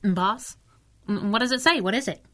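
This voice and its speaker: A woman's voice: mid-range voice, moderate pace, fairly bright tone, a little hiss or hum, natural voice. An actress playing a feisty, wisecracking young female sidekick in a 1930s-style radio drama, slangy and streetwise. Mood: incredulous.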